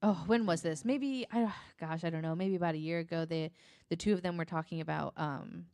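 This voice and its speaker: Lower-pitched woman chatting casually, close dry sound. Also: darkish tone, clean tone, no room sound. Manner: halting, searching for words, informal with occasional swearing. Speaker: podcast co-host. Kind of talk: casual podcast chat.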